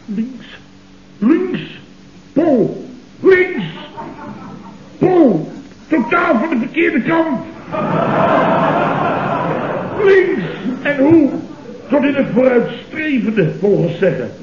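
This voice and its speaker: An actor playing a blustering, self-righteous conservative boss in a Dutch radio comedy, speaking in repetitive, exclamatory bursts with a Dutch accent. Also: male voice, very high voice, very animated, slow pace, pauses often, full character voice. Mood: appalled. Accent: Dutch, from the Netherlands. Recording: dull, noisy, roomy old radio recording.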